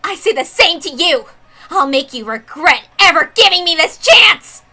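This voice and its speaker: Woman to play obsessive, defiant detective girl for visual novel drama; high, sharp, theatrical delivery. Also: big pitch swings, normal speed, soft background music, heavily acted. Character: brash, combative young female detective, obsessive and theatrical.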